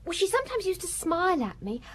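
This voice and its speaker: An actress playing a plain-spoken young housemaid in a radio drama, earnest, gossipy and a little defiant. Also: female voice, very high voice, sing-song, fast talker, a bit bright, exaggerated voice. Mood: wistful.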